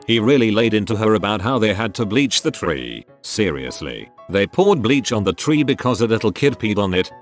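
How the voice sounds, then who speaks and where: A man reading out short personal stories as a scripted narrator. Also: fairly low pitch.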